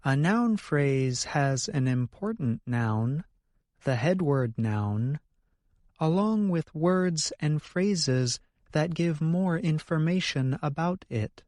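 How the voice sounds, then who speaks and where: A man's voice: on the high side, expressive, slow pace, a little mellow, no room sound, clean studio sound. A man co-hosting an educational podcast, reading scripted explanations in a careful, explanatory manner. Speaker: co-host of an English lesson podcast.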